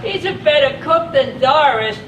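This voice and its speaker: An actress playing a childlike, food-loving group-home resident in a stage play, speaking in a simple, innocent, literal manner. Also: female voice, sits high, animated, moderate pace. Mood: cheerful, simple. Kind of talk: live one-act stage play dialogue. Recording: echoey distant stage, loud audience noise.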